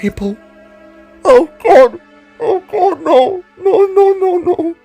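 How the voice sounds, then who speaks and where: A woman's voice: very high voice, lively intonation, slow pace, mellow, soft background music, exaggerated voice. A woman voicing a frightened, grief-stricken child creature in an audio story, pleading in short repeated bursts. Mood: stunned grief. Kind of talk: dramatic audio story acting.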